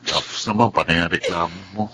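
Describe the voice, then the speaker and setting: A man's voice: deep voice, fast, bright, forceful tone, a little hiss or hum. A man playing a young, evasive boyfriend in a Filipino radio drama. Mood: placating, irritated. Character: young boyfriend, placating and evasive under his mother's thumb.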